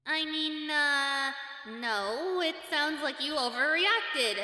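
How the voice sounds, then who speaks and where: A woman's voice: sits high, slow, bright, forceful tone, heavily acted. A woman voicing a young cartoon heroine's chatty, wry inner thoughts, wary and sarcastic. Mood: wary, skeptical. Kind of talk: character voice-over commentary on cartoon clips. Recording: echoey hall reverb, music behind.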